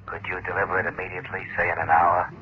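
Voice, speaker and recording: An actor playing a cool, businesslike criminal buyer in an old radio mystery, speaking in formal, clipped, precise phrases. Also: male voice, deep voice, monotone, fast talker, a little hiss or hum, natural voice. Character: cool, businesslike male buyer in shady smuggling deal. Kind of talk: 1940s radio mystery acting. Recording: muffled old radio drama recording.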